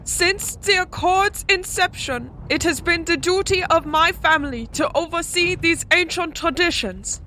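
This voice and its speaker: A woman's voice: extremely high pitch, a little slow, hardly any pauses, pushed and bright, slight background noise, full character voice, poor audio. A woman playing a regal, stern vampire noble in an audio drama, speaking in imperious, formal diction. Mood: solemn, proud.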